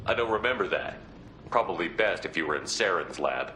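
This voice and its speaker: Firm, lower-voiced military commander. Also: male voice, lively intonation, a little fast, pushed and bright, slight background noise, low-quality recording. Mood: stern, dry. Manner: blunt, controlled questioning. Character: human military commander, firm, probing, unimpressed man. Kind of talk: video game character acting. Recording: music under the voice.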